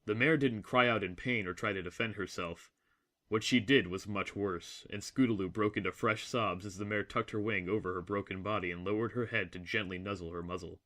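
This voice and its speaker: A man's voice: lowish voice, steady tone, brisk, sharp tone. A man reading scripted, dramatic story narration as the narrator of an audio drama.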